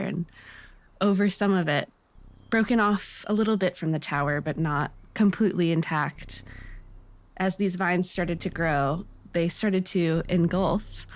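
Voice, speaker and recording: A woman's voice: lowish voice, no echo. A woman taking part in a tabletop game, improvising descriptive storytelling.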